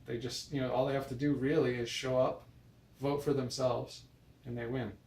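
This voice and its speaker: An American man chats off the cuff like a video blogger, meandering and hesitant, often correcting himself. His pitch is average for a man. He sounds set back from the microphone in an echoey room, with faint noise behind him. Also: flat delivery, moderate pace, a little mellow. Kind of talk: casual rambling personal vlog. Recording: roomy, distant mic with noise.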